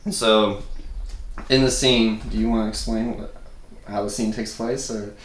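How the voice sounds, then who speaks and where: A man's voice: fairly low pitch, steady tone, a little slow. A man casually explaining a scene as presenter of a table read, in a hesitant, rambling way. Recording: roomy echo with background noise.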